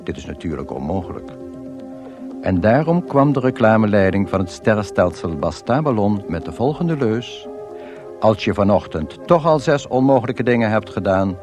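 A man playing a deadpan, measured narrator of cosmic absurdities in a science-fiction comedy radio play. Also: on the low side, over music.

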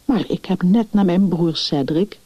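A woman's voice: lowish voice, measured pace, slightly rough, no room sound. Woman reading as an anxious young mother, muffled recording. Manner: agitated, pleading. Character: anxious, deeply moved beautiful young mother.

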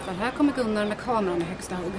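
An actress playing an observant mayor's aide in a comic audio drama, delivering everything in a dry, deadpan manner. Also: female voice, mid-range voice, over a noisy crowd, heavy background noise, close to an everyday voice. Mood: dry, watchful.

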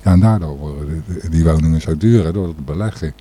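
Male radio presenter, very deep and raspy, hesitant delivery, opinionated, unscripted news talk. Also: fairly quick, dark tone. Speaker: radio host.

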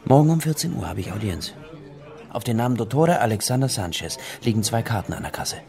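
A man's voice: mid-range voice, very animated, brisk, vocal fry, warm tone. An actor playing a chatty, melancholy man with an urbane, wry, persuasive manner in a German radio play. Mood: wry, insistent. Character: chatty, melancholy man coaxing a new acquaintance.

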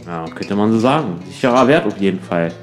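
Lively-pitched, informal man in comic banter. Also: a little fast, faint music behind. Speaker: member of a comic radio play trio. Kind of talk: conversational comedy sketch dialogue.

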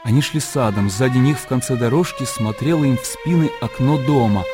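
A man's voice: mid-range voice, brisk. A man narrating a literary radio drama as storyteller. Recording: loud music under narration. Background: loud background music.

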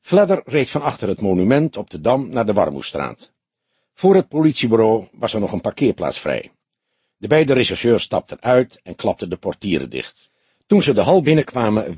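A man narrating a crime novel as an audiobook in an expressive storytelling style. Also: on the low side, slightly rough, no room sound.

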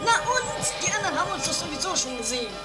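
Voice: very high-pitched, big pitch swings, racing, a loud crowd. A performer voicing a dim, eager, hapless tagalong in an improvised trashy comedy radio play. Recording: music under amateur 1980s radio play.

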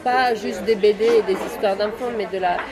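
A woman's voice: medium pitch, fairly quick, rough recording. A middle-aged woman from Romania with a Romanian accent, chatting casually as an interview guest in a second language. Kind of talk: casual chat. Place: busy café or bar. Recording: busy public place with loud crowd chatter.

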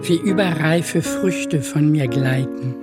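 A woman reciting poetry with measured, lyrical phrasing. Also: deep voice. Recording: music bed behind voice. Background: music.

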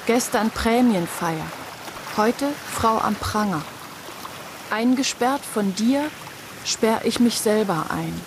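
Blunt headstrong worker woman, higher-pitched. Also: slow pace, noisy background. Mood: bitter, defiant. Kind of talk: radio drama acting.

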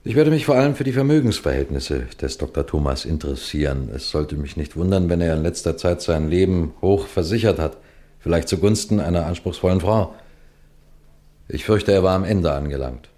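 An actor playing a shrewd, composed senior police detective in a radio crime play, speaking in a deliberate, courteous, instructive way. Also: male voice, low voice, lively intonation, mellow. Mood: assured, explaining. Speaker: detective and narrator addressing listeners. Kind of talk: scripted German radio crime drama.

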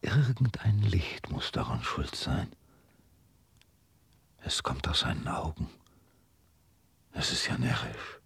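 An actor playing a brooding, dazed man in a radio drama, murmuring his inner thoughts in halting, whispered phrases. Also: a bass voice, very animated, creaky, fairly bright tone, husky, dry recording, full character voice. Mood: uneasy, puzzled. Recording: music behind the voice.

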